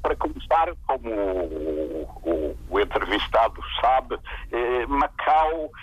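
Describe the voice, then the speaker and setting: An older man speaks over a dry telephone line, his voice husky and slightly rough. He talks in a halting way, with many fillers and restarts as he argues his point. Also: mid-range voice, sing-song, no room sound. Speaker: radio listener calling in. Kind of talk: live radio call-in debate.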